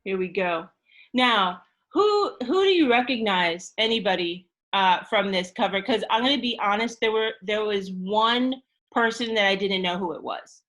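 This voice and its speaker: A woman's voice: mid-range voice, lively intonation, normal speed, fairly bright tone. A woman hosting an interactive storytime lesson, prompting her listeners with questions as she talks through a book. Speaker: storytime host and teacher. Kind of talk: interactive read-aloud discussion.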